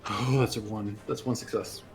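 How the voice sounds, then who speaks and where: A man's voice: lowish voice, fairly even intonation, normal speed. A man chatting casually as a player in a tabletop roleplaying game, reacting to rules and dice rolls. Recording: faint music under the voice. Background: soft background music.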